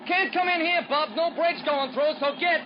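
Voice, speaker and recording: A gruff, brusque bulldozer worker in an old radio play, calling out high and loud. The recording is dull and lo-fi, like a 1940s broadcast. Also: male voice, normal speed, noisy background.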